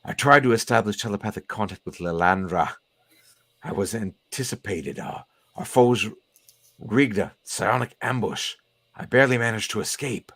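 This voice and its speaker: A man voicing a weary, dignified telepath professor from a comic book, speaking in formal, measured sentences. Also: fairly low pitch, animated, fairly bright tone, dry recording. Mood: grave, formal. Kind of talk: comic book read aloud in character.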